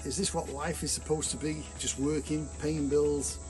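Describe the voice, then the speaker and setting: A man's voice: fairly high pitch, level tone, moderate pace, a little mellow, soft background music. A man from Manchester, England, earnestly sharing a testimonial. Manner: reflective, earnest delivery. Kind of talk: personal testimonial.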